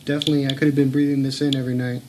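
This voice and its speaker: A man's voice: mid-range voice, flat delivery, rapid-fire, background noise. A man filming at home, talking in a blunt, earnest way and swearing now and then. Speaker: home video blogger. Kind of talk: casual filmed commentary. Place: home room.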